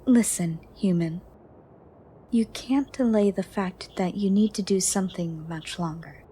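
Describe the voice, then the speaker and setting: A woman playing a gentle, ancient female monster under the bed in a soft, breathy, partly whispered ASMR roleplay, formal and caring. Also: mid-range voice. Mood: caring, firm. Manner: slow, formal, caring, slightly stern.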